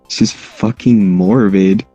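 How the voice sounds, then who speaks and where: A man's voice: lowish voice, fairly even intonation, takes it slowly, dark tone, dry recording, lo-fi sound, faint music behind. A man chiming in with casual banter.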